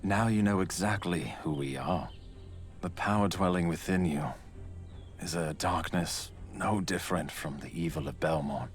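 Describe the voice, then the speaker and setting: An actor playing a grave, knowing guardian in a fantasy game, speaking in a measured, portentous way. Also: male voice, deep voice, natural voice. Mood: grave, warning. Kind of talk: video game voice acting. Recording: faint music behind.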